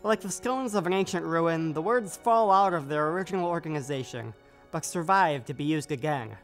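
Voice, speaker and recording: Mid-pitched reciter over faint music. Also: expressive, moderate pace. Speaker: readers theater performer reading quotations. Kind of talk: scripted recitation of quoted prose.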